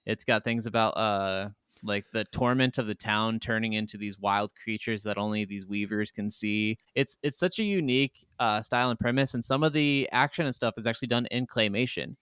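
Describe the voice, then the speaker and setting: A man with a smooth, clear voice talks as a podcast co-host, recorded dry and close. His delivery is informal and enthusiastic, like relaxed chat about games with a friend. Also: fairly low pitch, moderate pace, few pauses, no room sound. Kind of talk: casual gaming chat.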